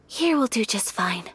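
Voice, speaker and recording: A young woman playing a refined, dutiful noblewoman in a video game, speaking in a formal, polite and measured manner with gentle reflection. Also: mid-range voice, a little hiss or hum, exaggerated voice. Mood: hesitant. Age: young adult. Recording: background music.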